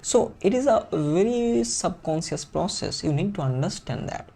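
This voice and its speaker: A man's voice: high-pitched, expressive, moderate pace, vocal fry, mellow. A man with a Bengali accent, a native Bengali speaker, coaching viewers on English fluency in an earnest teaching monologue that repeats key phrases for emphasis.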